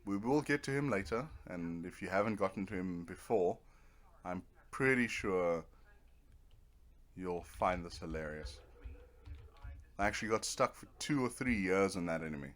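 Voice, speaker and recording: A man's voice: deep voice, lively intonation, normal speed, long pauses, fairly bright tone. A man giving casual, rambling commentary over a video game, with a dry, self-deprecating sense of humor. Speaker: video game let's player.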